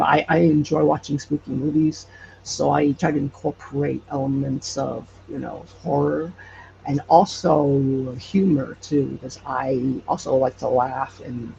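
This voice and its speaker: A man chatting casually as an interview guest, often hesitating and pausing to search for words. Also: medium pitch, gravelly. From Hawaii. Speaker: podcast creator, interview guest. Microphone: online call. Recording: muffled, noisy internet call.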